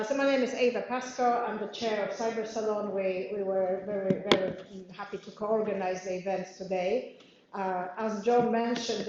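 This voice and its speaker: A woman's voice: medium pitch, darkish tone, slight background noise. A woman in her fifties with a Polish accent, chairing and introducing a panel debate in a chatty way with many fillers. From Poland. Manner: chatty, frequent fillers and laughs. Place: parliament meeting room. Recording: very echoey room, dull audio.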